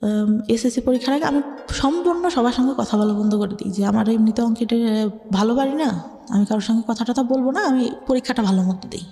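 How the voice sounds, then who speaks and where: A young woman with a Bangladeshi Bengali accent speaks in a soft, mellow voice, and her pitch sits fairly high. Her telling is hesitant and full of filler words. The room rings with a long echo, and gentle music plays underneath. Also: fairly quick. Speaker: interview guest. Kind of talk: personal life story in conversation.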